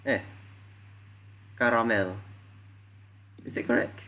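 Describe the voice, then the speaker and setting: Soft-spoken young man interviewing. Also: mid-range voice, steady tone, slow pace. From Malaysia. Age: young adult. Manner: soft-spoken, many "I see" acknowledgements. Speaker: student interviewer. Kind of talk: class presentation interview.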